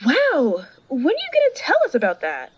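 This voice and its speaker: Woman as cheeky young fantasy heroine, high lively voice, eager and curious, dry slightly dull recording. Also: moderate pace, dry recording. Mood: teasing, curious. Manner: excitable, teasing. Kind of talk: fantasy audio drama acting.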